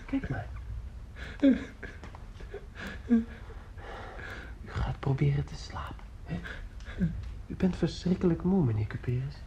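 An actor in a radio drama playing a caring but sometimes gruff man who speaks in short, plain, repeated phrases. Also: a little high, lively intonation, moderate pace, mellow, lo-fi sound, a little hiss or hum, lightly acted. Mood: gently firm. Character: caring man, gruff when hurried, soothing a drunk old man.

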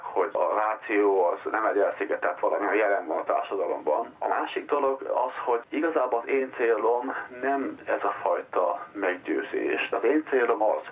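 A man's voice: lowish voice, breathy edge, phone-quality sound. A man speaking as an interviewed professor, explaining his views bluntly and with strong opinions.

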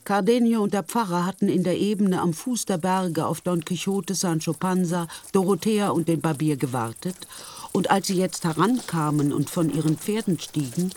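A woman's voice: lowish voice, normal speed, a little mellow. A woman narrating a radio drama in a scripted storytelling style.

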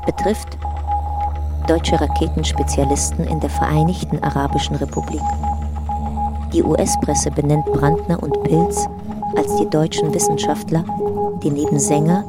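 A woman's voice: deep voice, sing-song, normal speed, loud music behind the voice. A woman playing an impersonal narrator in a radio play, reading out files in a clipped, matter-of-fact documentary style. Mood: neutral, official.

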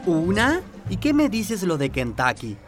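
A man's voice: sits high, huge pitch swings, a little slow, faint music behind, full character voice. A man playing an exasperated, cynical political fixer. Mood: indignant. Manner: insistent, sarcastic, bossy. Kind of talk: comic radio play acting.

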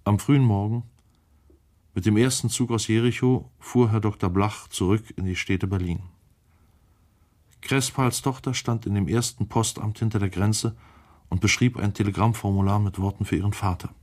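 A man's voice: fairly low pitch, fairly even intonation, normal speed, darkish tone. A man reading a novel aloud as scripted literary narration. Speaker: audiobook reader.

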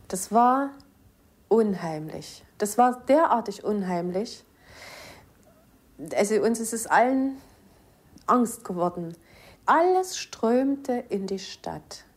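Female eyewitness, average register, emphatic, pausing personal recollection. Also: mid-range voice, animated. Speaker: eyewitness interviewee. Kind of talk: spontaneous spoken recollection.

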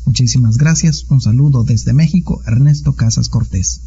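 A man's voice: mid-range voice, normal speed, dark tone, breathy edge. A man with a Mexican accent leaving a polite, formal voice message, with some fillers. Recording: dull lo-fi recording.

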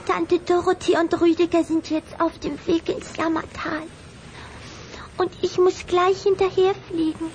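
A woman's voice: extremely high pitch, flat delivery, measured pace, a little mellow, slight background noise, rough recording. A woman playing an earnest, tender little vampire girl in a children's radio play. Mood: wistful, tender.

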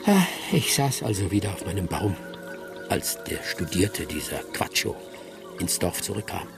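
An actor playing a wry, musing old village hunter who narrates a radio drama. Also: male voice, sits low, sing-song, normal speed, gravelly, music in the background, full character voice.

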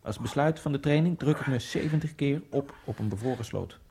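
A lonely immigrant skater muses wryly to himself in a radio play. His mid-pitched voice is gravelly and a little husky, and he speaks in a quiet, inward way. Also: a little fast. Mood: brooding. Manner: wry, brooding inner monologue. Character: lonely immigrant man, obsessive speed skater. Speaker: first-person narrator of a radio play. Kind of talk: radio drama monologue.

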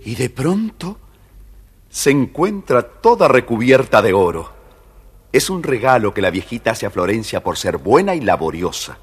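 A man's voice: mid-range voice, sing-song, moderate pace, slightly rough. A man narrating a scripted children's story as the storyteller. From Argentina.

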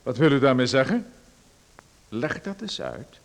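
A man playing a smooth, menacing official in a radio drama, condescending and coaxing. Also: mid-range voice, wildly swooping pitch, unhurried, a little hiss or hum, full character voice. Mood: patronizing. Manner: condescending, coaxing then threatening.

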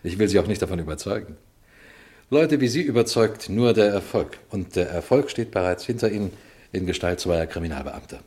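An actor playing a shrewd, composed male police detective in a 1960 radio crime drama, measured, dry and politely superior. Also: low-pitched, animated, dark tone. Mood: calmly triumphant. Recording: vintage 1960 radio recording.

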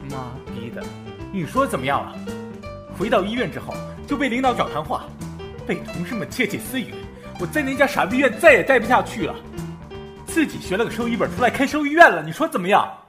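A man's voice: sits high, sing-song, fairly quick, over music. A man playing a bitter, foul-mouthed veterinarian with a snappy, sarcastic way of talking in a Chinese audio drama. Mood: resentful.

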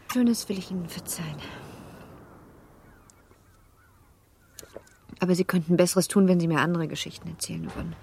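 A woman's voice: low-pitched, a little mellow, slight background noise. An actress in a German radio play playing a spirited, coquettishly reproachful young married noblewoman with a pert, genteel manner. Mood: gently reproachful.

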